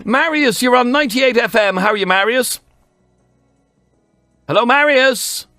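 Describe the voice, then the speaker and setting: A man with a Dublin accent hosting a radio phone-in, bantering with callers in a lively way. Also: very high voice, measured pace, dry recording, studio quality, faint music behind. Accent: Irish, Dublin.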